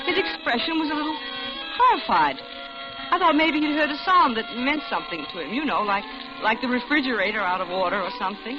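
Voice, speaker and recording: An actress plays a lonely, genteel American widow of middle age, confiding her story with dramatic pacing. It is old radio suspense acting. The recording is dull and dated, with music clearly behind her. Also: fairly high pitch, close to an everyday voice. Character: lonely older widowed office worker recounting her doom. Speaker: narrator and lead character.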